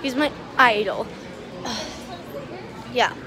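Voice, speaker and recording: Gushing high-voiced teen girl vlogger. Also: very slow, a crowd in the background. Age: teens. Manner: gushing, breathless, giggly. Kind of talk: excited casual vlog chat. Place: indoor restaurant at a competition venue. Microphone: phone held at arm's length.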